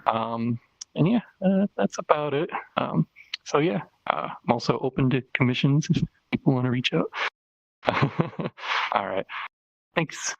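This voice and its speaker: A man's voice: mid-range voice, animated, unhurried, creaky, no echo. A man presenting an avatar he made, in a casual, hesitant way with many fillers and restarts. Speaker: avatar creator presenting his work. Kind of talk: casual show-and-tell presentation. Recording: dull lo-fi voice chat audio.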